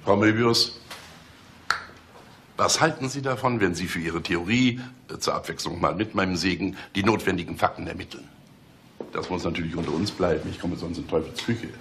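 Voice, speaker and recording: A man's voice: deep voice, normal speed, fairly bright tone, slight background noise. A man acting in a German radio play as a smug, teasing middle-aged police chief, condescending and mock-solemn. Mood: conspiratorial.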